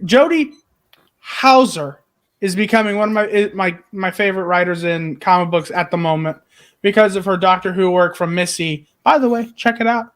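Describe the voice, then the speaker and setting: A man's voice: sits high, a bit bright. A man talks casually on a fan podcast. He is blunt and irreverent, swearing freely as he gives his opinions in an informal, conversational way. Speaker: podcast panelist. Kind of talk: casual chat.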